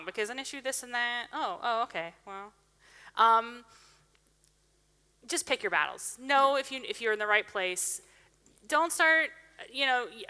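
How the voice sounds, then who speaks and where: Bright, crisp woman in a reverberant hall. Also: medium pitch. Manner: animated, chatty, many restarts. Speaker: conference speaker taking questions. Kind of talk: casual Q&A after a talk.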